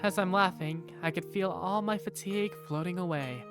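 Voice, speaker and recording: A woman's voice: on the low side, moderate pace, music in the background, lightly acted. A woman playing an affectionate young working big sister, narrating her own story in a visual novel fan dub. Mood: affectionate, playful. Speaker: narrator and protagonist. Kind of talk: first-person visual novel narration and dialogue.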